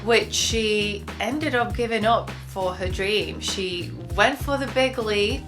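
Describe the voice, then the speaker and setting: Woman host, upbeat and giggly, talking over a clear music bed. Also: mid-range voice, slow pace. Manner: upbeat, warm asides, giggly. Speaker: host, interior designer. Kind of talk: YouTube channel intro and interview.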